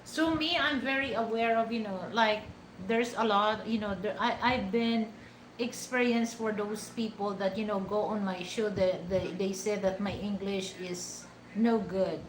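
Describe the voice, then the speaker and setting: A woman's voice: mid-range voice, fairly even intonation, a little slow, reverberant, a little hiss or hum, rough recording. A woman from the Philippines with a Filipino accent, rambling in a casual vlog with many fillers and restarts. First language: Hiligaynon. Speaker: vlogger. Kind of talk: casual talk to camera.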